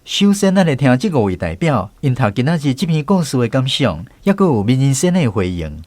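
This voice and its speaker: Animated Taiwanese man hosting. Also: medium pitch, normal speed, dry recording. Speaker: host. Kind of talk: introducing a discussion panel.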